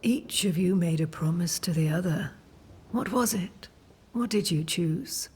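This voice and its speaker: Game witch leader: lowish, very breathy, measured, ominous, cryptic guidance. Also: darkish tone. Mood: grave, probing. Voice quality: breathy, husky. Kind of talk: dramatic video game acting.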